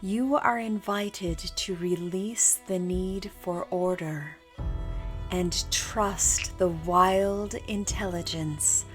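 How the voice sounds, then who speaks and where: Very slow-speaking woman guiding meditation over music. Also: fairly low pitch, fairly even intonation. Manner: slow, hushed, deliberate, with long pauses. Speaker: meditation guide. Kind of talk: scripted guided meditation narration.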